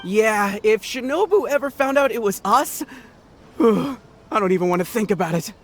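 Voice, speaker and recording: A man's voice: high voice, lively intonation, normal speed. A man voicing a nervous, loyal young gang underling in a video game, hesitant and anxious. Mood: worried.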